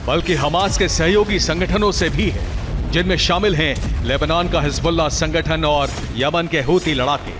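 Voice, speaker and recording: Male news narrator, higher register, emphatic dramatic scripted read with prominent music behind. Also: normal speed.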